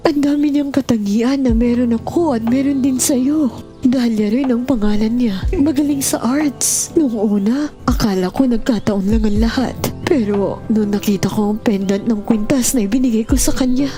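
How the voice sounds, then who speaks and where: A woman's voice: fairly high pitch, moderate pace, lightly acted. A woman playing a tender, hopeful middle-aged mother with a Filipino accent, confiding earnestly in an audio drama. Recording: soft music behind the voice.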